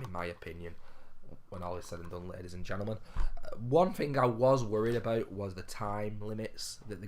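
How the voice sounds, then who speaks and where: A man with a sore throat, his voice hoarse, low and gruff, chatting casually to viewers about games he has bought. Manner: chatty, addresses viewers as ladies and gentlemen. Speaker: gaming vlogger. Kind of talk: casual game pickups vlog.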